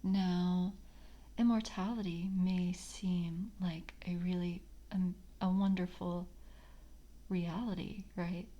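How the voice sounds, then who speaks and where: A woman voicing a polite, hungry, slyly predatory vampire coven screener in a soft ASMR roleplay, whispering and hesitant, with formal, coaxing words. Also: fairly low pitch, steady tone, slow, muffled recording. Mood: measured, formal.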